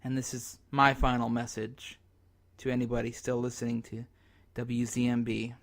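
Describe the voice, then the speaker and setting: Despairing male survivor broadcasting on ham radio , played by a man, mid-pitched, halting and dramatic, for a zombie radio drama. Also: completely flat delivery, a bit bright, close to an everyday voice. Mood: grim, resolute. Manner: halting, dramatic, broken into short phrases.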